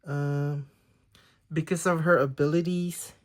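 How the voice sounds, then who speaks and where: Slow, tentative man showing cards. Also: a little high, little pitch movement. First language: Tagalog. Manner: tentative, often unsure of details. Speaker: trading card collector. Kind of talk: casual show-and-tell of a card haul.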